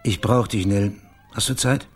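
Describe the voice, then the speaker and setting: An actor playing a tough, cynical private investigator with a dry, clipped delivery in a German radio crime drama. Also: male voice, on the low side, measured pace, husky, faint music behind. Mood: matter-of-fact.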